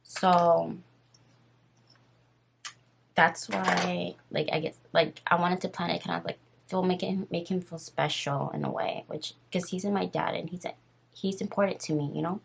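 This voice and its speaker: A woman in her twenties with a raspy voice, casually telling a story on her vlog while doing her makeup, rambling and full of fillers. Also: lowish voice, fairly even intonation, unhurried. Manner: rambling, filler-heavy and nervous. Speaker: vlogger.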